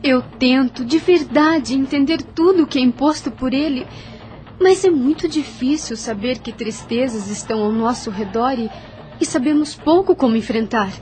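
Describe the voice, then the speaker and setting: A woman playing a gentle, devoted young plantation wife in an audio drama, sweet, earnest and emotive. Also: high-pitched, moderate pace, lightly acted. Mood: troubled, earnest.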